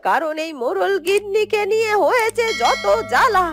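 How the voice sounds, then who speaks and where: A woman voicing a scheming, greedy younger sister of a witch in a fairy-tale cartoon, fretful and excitable. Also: very high voice, moderate pace. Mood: puzzled questioning.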